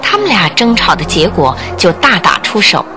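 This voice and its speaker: A woman's voice: lowish voice, huge pitch swings, normal speed, raised voice. Female radio drama narrator over music.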